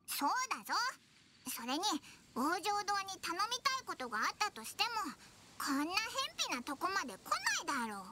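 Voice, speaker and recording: A woman's voice: sits high, very animated, a little fast, noisy background. A woman voicing a tiny childlike fairy companion in an anime game, blunt and indignant, with boyish childish speech. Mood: flustered, indignant.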